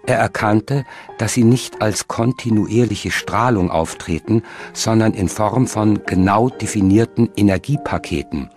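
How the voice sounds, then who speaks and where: A man narrating a documentary from a script in a measured, articulate way. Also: fairly low pitch, darkish tone, soft background music.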